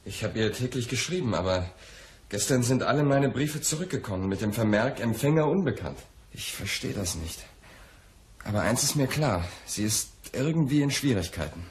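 An actor playing an anxious, spoiled young poet in a radio crime drama, speaking in an agitated, halting way. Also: male voice, medium pitch, expressive, natural voice. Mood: worried, subdued. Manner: agitated, halting, repeats words. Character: anxious, spoiled young poet in his twenties, pleading lover.

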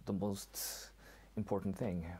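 Man in his forties from Norway, very low voice, tired and easygoing, casual guest. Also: level tone, takes it slowly, mellow, breathy edge. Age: 40s. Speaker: guest rapper. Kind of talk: casual interview chat. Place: cluttered storage room indoors.

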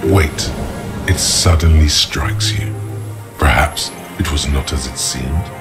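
An actor voicing a cerebral, observant inner-voice narrator in a video game, measured and literary. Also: male voice, very deep voice. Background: music.

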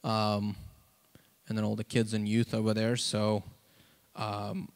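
A man gives an informal sermon and testimony into a handheld mic, halting and conversational, with lots of "like" and "you know.". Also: fairly low pitch, completely flat delivery. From South Africa. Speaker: preacher. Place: church auditorium, on stage before seated congregation. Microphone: handheld microphone.

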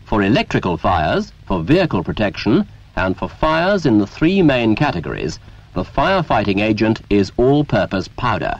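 A British man reading a scripted 1970s training film in a formal, measured, emphatic way, as a narrator. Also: on the low side, sing-song. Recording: dull, lo-fi 1970s 16mm film soundtrack.

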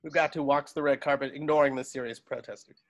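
A man's voice: medium pitch, fairly even intonation, fast talker, highs cut off. A man narrating stage directions for a script table read over a video call.

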